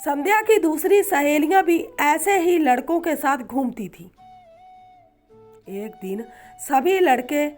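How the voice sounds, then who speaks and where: A woman narrating a scripted moral story in a measured, dramatic storytelling manner. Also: sits high, expressive, a little mellow, soft background music.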